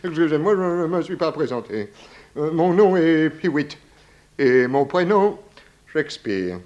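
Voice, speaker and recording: A pompous, flustered old-fashioned gentleman in a radio comedy. His very high voice rises and falls wildly as he stammers through mannered, doddering phrases. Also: sing-song, unhurried, muffled recording. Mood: apologetic, rueful. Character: fussy, doddering, pompous gentleman lodger, senior resident. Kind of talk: 1960s French radio detective comedy acting.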